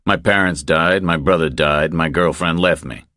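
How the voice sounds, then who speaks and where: A man's voice: a bass voice, fairly even intonation, normal speed, pushed and bright, no echo, studio quality. A man voicing a laconic, easygoing ex-Army drifter in an audiobook, terse and understated. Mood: dry.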